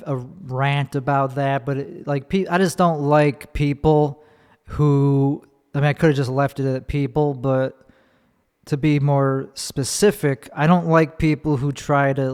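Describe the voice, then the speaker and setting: A man's voice: medium pitch, fairly even intonation, unhurried, darkish tone, clear, resonant tone. A man with a Chicago accent, a comedian ranting profanely and rambling through a solo podcast monologue, often restarting mid-sentence. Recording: long reverb, echoey room.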